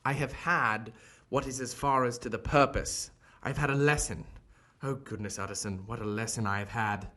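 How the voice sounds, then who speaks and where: A man acting a shaken, remorseful gentleman doctor in an audio drama, speaking formally and earnestly. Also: mid-range voice, normal speed, hardly any pauses. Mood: distraught.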